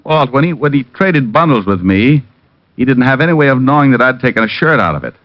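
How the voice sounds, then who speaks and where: A man playing a quick-witted, wry private detective in an old-time radio drama, moving between dialogue and hardboiled narration. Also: mid-range voice, big pitch swings, no echo, close to an everyday voice. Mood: groggy, sardonic. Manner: wry, sardonic. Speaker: narrator and lead actor. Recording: dull vintage radio recording with music.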